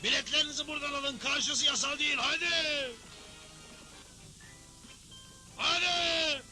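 A man's voice: extremely high pitch, normal speed, over music. A man voicing a bossy, stubborn, boastful Wild West judge in a Turkish cartoon dub. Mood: hawking. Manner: bossy, threatening. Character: bullying, stubborn frontier judge, cantankerous and boastful.

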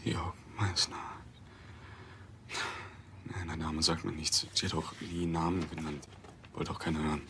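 An actor playing a bitter, grieving boyfriend in a TV crime drama, subdued and halting. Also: male voice, a bass voice, flat delivery, sharp tone, slight background noise, heavily acted. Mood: subdued grief.

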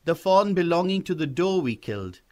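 A man's voice: on the high side, very animated, fairly quick, pitched above the performer's own voice. A man voicing an eager, earnest country boy who pleads politely with a lilt in an audiobook story. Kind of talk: acted audiobook dialogue.

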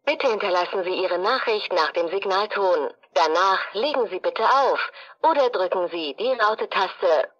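A woman's voice: mid-range voice, animated, moderate pace, a bit bright. A woman reading a scripted telephone system prompt, heard in dull phone playback.

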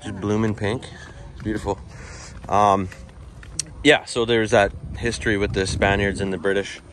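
A man with a low voice talks his way through an outdoor walking tour. He is relaxed and chatty and thinks aloud with many fillers. Faint noise sits behind him outside. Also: moderate pace, low-quality recording. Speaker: travel vlogger. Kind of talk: casual walking tour narration. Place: outdoors at an old stone fort.

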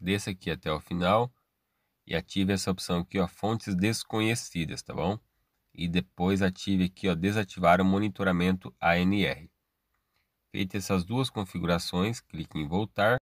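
A man's voice: low-pitched, moderate pace, dry recording, studio quality. A Brazilian man hosting a tech tutorial, walking through steps casually and checking in often. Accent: Brazilian Portuguese. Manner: step-by-step, casual, tag questions. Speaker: tech channel host. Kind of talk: screen-recorded tech tutorial.

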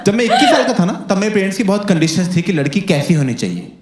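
A young man performs stand-up on a comedy stage, his voice fairly high and swinging lively up and down as he spins his stories. The club sounds roomy and loud laughter from the crowd rolls in behind him. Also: fast talker. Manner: animated, playful storytelling. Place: comedy stage with live audience. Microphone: handheld mic.

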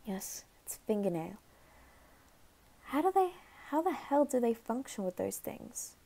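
A woman voicing a flustered, guarded but caring teenage anime boy in an ASMR roleplay, halting and stammering, sometimes whispering. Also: fairly high pitch, very animated, creaky, dark tone, full character voice. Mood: concerned. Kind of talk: ASMR roleplay, soft scripted acting.

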